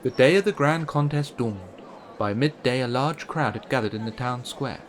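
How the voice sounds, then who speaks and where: Guy reading a kids picture book out loud, super lively up and down intonation. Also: medium pitch, normal speed, a little hiss or hum. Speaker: storybook reader. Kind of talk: expressive children's read-aloud narration.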